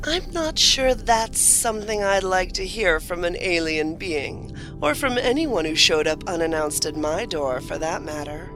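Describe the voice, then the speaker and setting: A woman playing the solemn, wry narrator of an anthology of strange tales, with a deliberate, theatrical delivery. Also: on the low side, animated. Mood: solemn, intriguing. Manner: deliberate, dramatic. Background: music.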